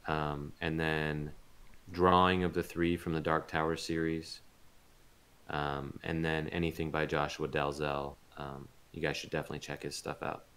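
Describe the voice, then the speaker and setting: A man talks casually as the guest in an interview about his voice acting. His pitch is extremely low, and his intonation stays flat and even. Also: normal speed, clear, resonant tone. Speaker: guest voice actor being interviewed.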